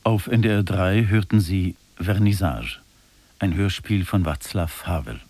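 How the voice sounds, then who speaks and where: A man reading scripted broadcast credits as a radio announcer, formal and measured. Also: low voice, darkish tone, husky, no room sound.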